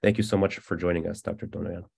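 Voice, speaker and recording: A man moderating a panel, briskly introducing a speaker. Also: low voice, fairly even intonation, creaky, darkish tone, slightly rough.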